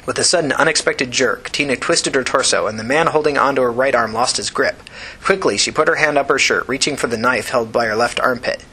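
A man's voice: medium pitch, brisk, pushed and bright, breathy edge, low-quality recording. A man reading scripted fiction aloud as an audiobook narrator.

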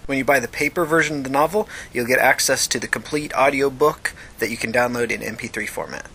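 Man narrating a novel, measured and matter-of-fact. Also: mid-range voice, creaky, sharp tone, a little hiss or hum. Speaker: podcast narrator. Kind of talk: scripted audiobook narration of fiction.

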